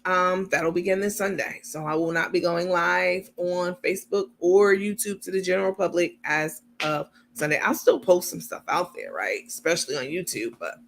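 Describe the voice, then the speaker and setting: Casual stream host, male, high and gravelly, chatty step-by-step explainer, lots of ums. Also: normal speed, few pauses, a bit bright, a little hiss or hum. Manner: chatty, explains step by step while sharing screen; many filler ums, gets irritated at small details. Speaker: channel host. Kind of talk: casual livestream talk to viewers.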